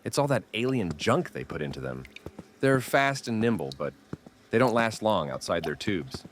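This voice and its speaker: A world-weary survivor with a low, gruff voice, played by a man, speaks bluntly, like a stern mentor in a horror audio drama. There is slight noise behind the voice. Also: very animated, moderate pace. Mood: subdued, grim. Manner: blunt, scolding, urgent.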